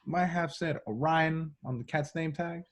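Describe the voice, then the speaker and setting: A man's voice: fairly high pitch, measured pace. Cocky, flirty undercover agent acted by a man. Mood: awkward, bluffing. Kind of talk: amateur table read of a film script. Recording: home remote table-read recording.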